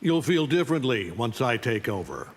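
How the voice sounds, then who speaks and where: An actor playing an arrogant middle-aged alien superhuman conqueror in a video game, imperious, threatening and condescending. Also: male voice, mid-range voice, very animated, normal speed, a little hiss or hum, close to an everyday voice. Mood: cold, domineering. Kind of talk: video game fight-intro dialogue. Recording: long hall-like reverb.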